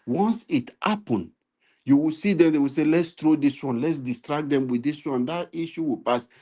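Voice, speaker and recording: Nigerian male commentator, warm and mellow, preachy, builds to emphatic repeated appeals. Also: on the high side, normal speed. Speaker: political commentator. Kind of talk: impassioned political commentary. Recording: live stream.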